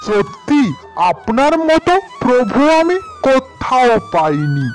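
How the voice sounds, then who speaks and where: Casting: performer playing deferential cartoon lamp genie, formal and earnest, high voice with lively swings, music underneath. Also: slow, exaggerated voice. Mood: respectful, grateful. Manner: deferential, formal, addresses master respectfully. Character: grateful, loyal male lamp genie, later a freed prince.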